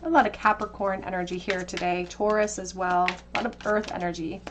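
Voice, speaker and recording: A woman reading tarot cards for her viewers, talking in a gentle, conversational, intuitive way. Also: on the low side, a little fast, noisy background. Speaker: tarot reader. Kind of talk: tarot card reading to camera.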